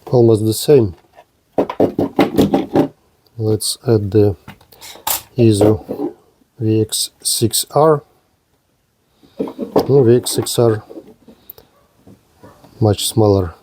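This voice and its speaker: Slow, halting man demonstrating a gadget. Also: lowish voice, steady tone, very noisy. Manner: halting, short fragmented phrases. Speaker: gadget reviewer presenting a radio. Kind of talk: unscripted hands-on product demonstration.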